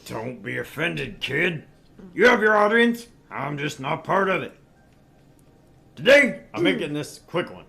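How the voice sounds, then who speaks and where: A man's voice: fairly high pitch, very animated, a little slow, creaky, sharp tone, heavily acted. A man voicing a brash, crude, sleazy middle-aged tabloid editor in a visual novel read-through, with a pompous, pushy manner. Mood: dismissive, brisk.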